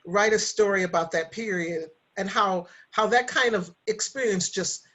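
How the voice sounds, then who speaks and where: A woman's voice: mid-range voice, moderate pace, fairly bright tone. Reflective middle-aged woman talking candidly. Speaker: podcast host and writer. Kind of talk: casual conversational storytelling.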